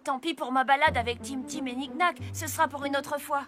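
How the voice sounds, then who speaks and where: A woman's voice: high-pitched, steady tone, a little fast, music in the background, close to an everyday voice. An actress playing an eager, confident young boy apprentice in a cartoon, earnest and curious. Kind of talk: animated cartoon acting in French.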